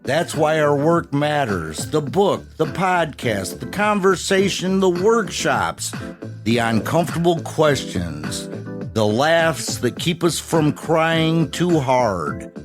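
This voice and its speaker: A man's voice: on the high side, very animated, slow pace, music in the background. A man co-hosting a podcast, delivering scripted commentary in short, punchy rhetorical phrases with wry humour. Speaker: podcast co-host.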